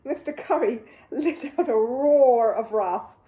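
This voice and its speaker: A woman narrating a children's story as an animated audiobook reader. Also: a little high, slow.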